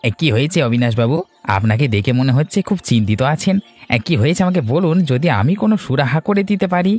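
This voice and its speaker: Cartoon villain's sidekick male actor: scheming, ingratiating, rapid delivery, faint music bed. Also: a little high, animated, darkish tone. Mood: eager, ingratiating. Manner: ingratiating, sly. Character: scheming, fawning henchman to a rich merchant. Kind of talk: Bengali cartoon story acting.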